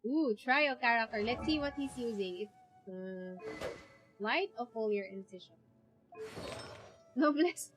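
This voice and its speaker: A woman's voice: fairly high pitch, slow pace, long pauses, a bit bright, low-quality recording. A woman whose native language is Filipino, streaming a game live with casual, reactive commentary. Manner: reacts aloud, mixes Tagalog and English. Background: game music.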